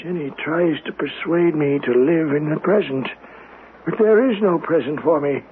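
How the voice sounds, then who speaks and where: An actor playing an elderly, wistful, haunted man in a radio drama, speaking in a halting, sighing, old-fashioned way. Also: a little high, natural voice. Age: older. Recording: tinny, noisy vintage radio broadcast.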